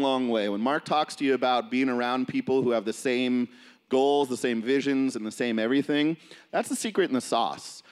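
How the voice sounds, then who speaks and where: A man leading an informal live gym seminar as a coach, casual and motivational, talking to a group. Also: medium pitch. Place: large indoor gym, speaking to seated group. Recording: reverberant gym hall, distant camera.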